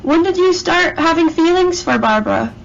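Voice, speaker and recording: A woman with a high voice asks casual, prodding questions in a private phone conversation. She agrees readily and repeats answers back, on a muffled recording with faint noise. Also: expressive, moderate pace, vocal fry. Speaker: caller asking questions.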